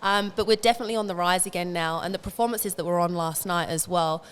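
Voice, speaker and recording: A woman's voice: lowish voice, fast talker, smooth. A woman in her thirties from England with an English accent, chatting upbeat as an interview guest. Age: 30s. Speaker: guest, boxer. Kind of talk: casual interview chat.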